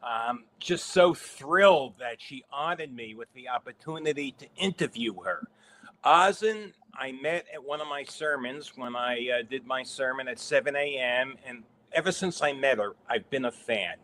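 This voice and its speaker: A man's voice: mid-range voice, normal speed, bright, forceful tone, a little hiss or hum, poor audio. A middle-aged man hosting a livestream interview, introducing his guest effusively. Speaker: interview host.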